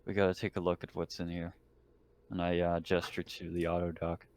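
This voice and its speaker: A man's voice: deep voice, little pitch movement, brisk, a little mellow. A man playing a tabletop roleplaying game, talking casually, partly in character.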